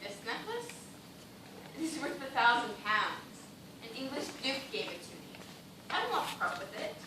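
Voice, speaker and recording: Amateur stage drama: woman as desperate, vain castaway dancer, high-voiced, pleading and melodramatic, in a heavily echoing hall. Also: huge pitch swings, moderate pace. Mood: wistful, dreamy. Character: desperate vain woman dancer dying of thirst, stranded at sea. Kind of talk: amateur one-act stage play acting. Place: indoor theatre stage, recorded from the audience distance. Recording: echoey noisy hall from far off.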